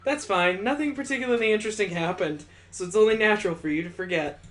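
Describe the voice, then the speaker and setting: A man's voice: sits high, fast talker, pitched above the performer's own voice, close to an everyday voice. A man voicing a talking teapot lady from a visual novel, motherly yet frank and brusque. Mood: matter-of-fact. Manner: addresses listener as dear, frank.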